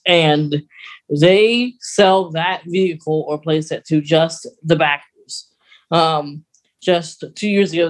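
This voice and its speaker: A podcast co-host speaks in a mid-pitched voice. The delivery is casual and conversational, with a wry edge, like news shared in an informal chat. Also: rough recording.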